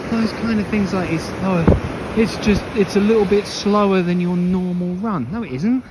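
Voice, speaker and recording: A man giving an off-the-cuff, opinionated coaching monologue outdoors, emphatic, repeating phrases and posing rhetorical questions. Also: moderate pace. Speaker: running coach and vlogger. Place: outdoors on a beach walk. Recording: windy, noisy outdoor mic, rumbling background. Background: wind on the microphone, ambient noise.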